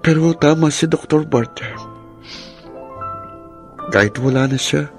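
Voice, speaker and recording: Gently creaky, grieving teenage boy. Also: on the high side, slow, soft background music. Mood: sad, resigned. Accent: Filipino. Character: sick teenage boy, grieving his sister, gentle, resigned. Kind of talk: Filipino radio drama acting.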